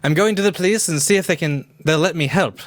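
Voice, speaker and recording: A man playing a bluff, excitable upper-class British gentleman with theatrical exclamations in an amateur radio play. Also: on the high side, fast, no room sound, close to an everyday voice. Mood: grave, urgent. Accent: put-on British. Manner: theatrical, old-fashioned exclamations.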